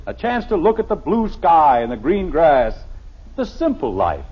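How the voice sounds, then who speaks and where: Cheerful american small town husband, old radio sitcom, high raspy lively voice, boastful, muffled old recording. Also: male voice, unhurried, full character voice. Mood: hearty, contented. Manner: hearty, boastful, optimistic. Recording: dull vintage radio recording.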